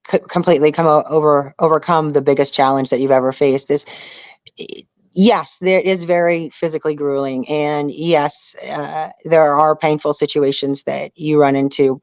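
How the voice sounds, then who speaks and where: A woman's voice: sits low, dry recording. Female interview guest, earnest and conversational, close dry sound on a slightly muffled lo-fi recording. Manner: earnest, peppered with "you know". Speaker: interview guest, reality survival show contestant. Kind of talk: casual interview storytelling. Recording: dull lo-fi audio.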